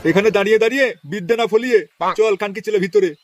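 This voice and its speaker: A crude old grandpa from a comedy cartoon as an actor plays him. He scolds in a brusque, short-tempered way and rattles his words out quickly, with music playing behind him. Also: mid-range voice, close to an everyday voice. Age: older. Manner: scolding, foul-mouthed, brusque. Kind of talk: comedy cartoon dialogue in colloquial Bengali.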